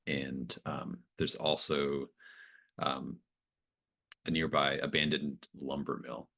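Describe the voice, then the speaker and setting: Male game master, extremely low voice, improvised scene-setting in halting phrases. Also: level tone, gravelly, bright, forceful tone. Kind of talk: improvised tabletop game narration.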